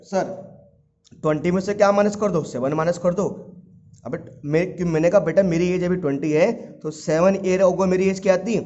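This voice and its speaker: A man's voice: sits high, a little mellow. A man gives an informal maths lesson. He goes over each step repeatedly, asking his students questions and answering them himself. The audio is dull and lo-fi. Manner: addresses students fondly as children. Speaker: maths teacher.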